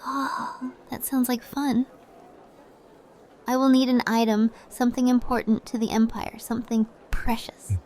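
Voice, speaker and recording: A woman playing a sly, composed sorceress in a fantasy audio drama, measured, mysterious and coy. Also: a little high, flat delivery, darkish tone, lightly acted.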